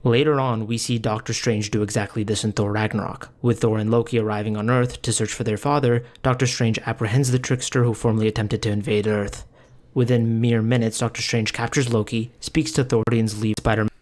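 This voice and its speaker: A man narrates a scripted video essay. His voice sits a bit low, and he delivers it as prepared, explanatory commentary. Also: flat delivery, brisk, a little mellow.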